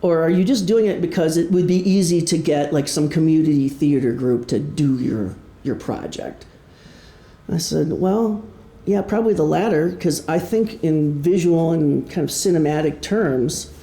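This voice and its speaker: A man's voice: fairly high pitch, moderate pace, dark tone. Man speaking in an echoey room. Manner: self-deprecating, anecdotal, wry. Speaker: author giving a presentation. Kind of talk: informal prepared talk to an audience. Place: indoor room, live presentation.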